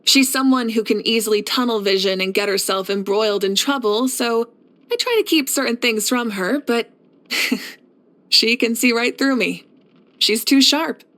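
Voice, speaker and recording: A woman voicing a steadfast, caring warrior leader in a video game, speaking in a measured, reflective and assured way. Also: fairly high pitch, fairly bright tone. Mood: amused, fond. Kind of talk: scripted video game character voice lines.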